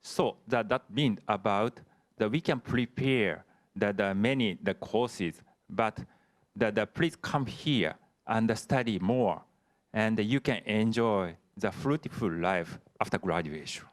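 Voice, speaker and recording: A man who is a professor, answering questions in an online lecture in halting speech full of restarts. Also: fairly low pitch. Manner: halting, frequent filler "the" and restarts.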